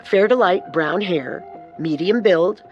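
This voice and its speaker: A woman co-narrating a scripted true-crime story with measured, dramatic delivery. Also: on the low side, muffled recording, faint music behind.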